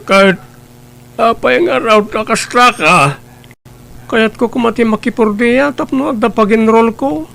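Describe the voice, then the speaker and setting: A man voicing a headstrong young man who talks quickly and insistently, pleading to keep studying. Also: very high-pitched, gravelly, a bit bright, pitched above the performer's own voice, exaggerated voice. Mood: earnest.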